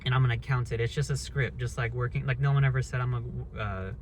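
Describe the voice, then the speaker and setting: A man in his early thirties holds forth in a loose, unscripted monologue, blunt and quick to swear as his thoughts wander and restart. His voice sits in an ordinary middle pitch, and a faint hiss runs under the recording. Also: fairly even intonation, a little mellow. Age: 30s. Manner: blunt, rambling, swears freely. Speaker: vlogger. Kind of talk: casual monologue rant.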